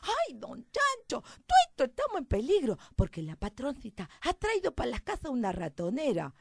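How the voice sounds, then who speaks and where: A woman's voice: wildly swooping pitch, moderate pace, vocal fry, noisy background, exaggerated voice. A woman voicing a frantic little male country mouse, squealing urgent rustic warnings in a storybook tale. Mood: urgent pleading. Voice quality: squealing, high-pitched shrieks.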